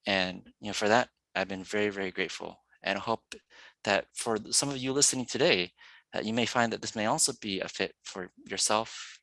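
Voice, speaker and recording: A man giving an earnest, measured reflection as a graduating student, thanking his listeners. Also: fairly low pitch, a bit bright, no echo, poor audio. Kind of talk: reflective speech.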